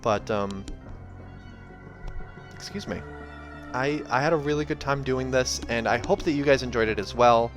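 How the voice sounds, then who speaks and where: A hoarse man reading a novel aloud and chatting casually between passages, with joking asides and many fillers. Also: mid-range voice. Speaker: reader and channel host. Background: soft game music.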